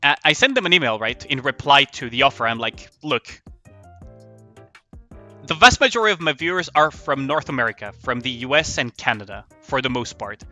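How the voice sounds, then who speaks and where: A man chatting casually on a game livestream, telling stories in a rambling, animated way with casual swearing. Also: medium pitch, fairly quick. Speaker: live streamer. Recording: music behind the voice.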